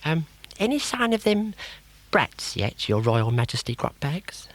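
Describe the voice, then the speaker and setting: Guy doing a dopey crocodile sidekick, raised pitch, grovelling and hesitant, kids story tape. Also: sing-song, a little slow. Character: dim-witted, fawning crocalike servant crocodile, male.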